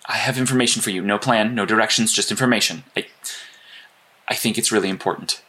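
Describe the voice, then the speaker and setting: An actor playing an earnest, apologetic strategist in an audio drama, speaking in a halting, analytical way. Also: male voice, lowish voice, pushed and bright. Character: earnest, apologetic male strategist guiding teammate remotely. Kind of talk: naturalistic audio drama dialogue.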